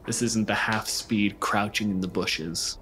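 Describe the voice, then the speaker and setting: A man's voice: fairly low pitch, steady tone, unhurried, bright, forceful tone, slight background noise. A man narrating casually and conversationally as the game master of a tabletop role-playing game. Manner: profane, conversational.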